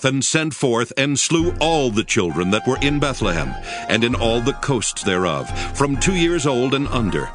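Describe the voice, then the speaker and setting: Expressive male scripture narrator over music. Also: mid-range voice. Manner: solemn, expressive.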